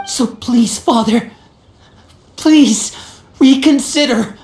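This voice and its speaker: A man's voice: very high voice, very slow, a little mellow, reverberant, heavily acted. A voice actor playing an earnest young man pleading with his father figure. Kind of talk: game cutscene voice acting.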